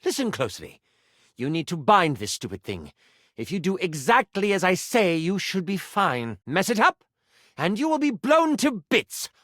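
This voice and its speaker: A man plays an exasperated high enchantress in a fantasy game. The voice sits high and swings widely, close and dry. She scolds and orders her apprentice about in curt, bossy phrases. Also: wildly swooping pitch, normal speed, a bit bright, dry recording, heavily acted. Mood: exasperated, instructing. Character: stern, exasperated female high enchanter mentor.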